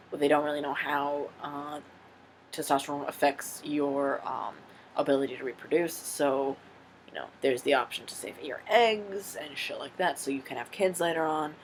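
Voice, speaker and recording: A man's voice: a little high, fairly bright tone, a little hiss or hum. A young man casually answering viewer questions on his vlog, frank and rambling, swearing freely. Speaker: vlogger.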